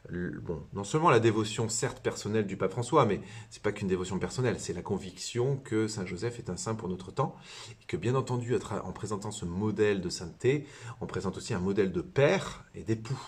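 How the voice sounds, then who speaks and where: A low, husky male voice of a priest from southern France talking informally about faith. He speaks haltingly, full of fillers and repeated starts, as if thinking aloud to his viewers. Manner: hesitant, many fillers and restarts. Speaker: priest and religious brother. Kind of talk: informal spiritual teaching to camera.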